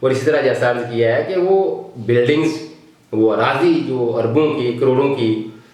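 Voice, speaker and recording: The recording rings with strong room echo. A Pakistani man with a mid-pitched voice delivers an off-the-cuff political monologue. He builds his points in short, halting phrases, thinking aloud as he goes. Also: darkish tone. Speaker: news commentary vlogger. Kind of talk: unscripted political commentary monologue. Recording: very echoey, reverberant room.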